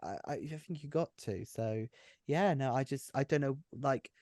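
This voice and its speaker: A man's voice: mid-range voice, normal speed, a little mellow, dry recording, studio quality. A man who writes and runs an audio drama, chatting casually and effusively, often repeating his phrases. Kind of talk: casual behind-the-scenes chat.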